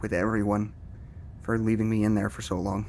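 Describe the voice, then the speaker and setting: A man's voice: lowish voice, little pitch movement, fairly quick, darkish tone, a little hiss or hum, close to an everyday voice. A man playing a nervous, hopeful researcher in a naturalistic audio drama, earnest and insistent with a stammer. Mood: subdued, regretful.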